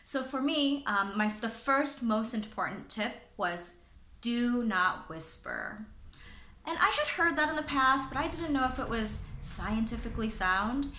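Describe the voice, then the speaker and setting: A woman's voice: a little high, sing-song, unhurried, sharp tone, reverberant. A woman who works as a presenter and trainer, sharing advice in a chatty way with many fillers and restarts. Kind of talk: casual talking-head advice.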